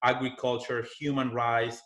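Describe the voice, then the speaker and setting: Man with a Colombian accent, bright and forceful tone, halting webinar talk over a computer mic. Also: mid-range voice, flat delivery. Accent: Colombian Spanish. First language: Spanish. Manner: halting, with fillers and restarts. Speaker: university sustainability manager. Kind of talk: webinar presentation.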